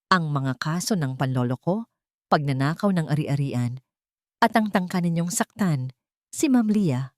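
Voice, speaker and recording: A woman's voice: deep voice, lively intonation, unhurried, no echo, studio quality. A woman voicing a loyal family lawyer who speaks politely and formally in a story. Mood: stern.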